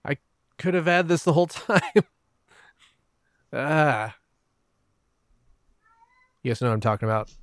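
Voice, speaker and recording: A man's voice: a little high, lively intonation, unhurried, long pauses, no echo, studio quality. A man chatting casually as a podcast guest, acting out his own reactions as he tells stories. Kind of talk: casual chat.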